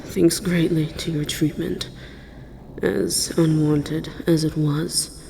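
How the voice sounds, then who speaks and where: A woman voicing an aloof, wounded, world-weary dragon in a measured, solemn, formal roleplay monologue. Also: deep voice, flat delivery, gravelly, background noise, heavily acted. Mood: grudgingly grateful. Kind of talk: slow dramatic roleplay audio monologue.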